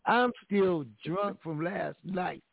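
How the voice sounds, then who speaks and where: A man's voice: high voice, takes it slowly, vocal fry, no room sound. A man hosting a casual radio chat, joking playfully and repeating his phrases for effect. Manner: playful, repeats phrases and old-fashioned mock exclamations. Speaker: radio show host. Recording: tinny phone-like line.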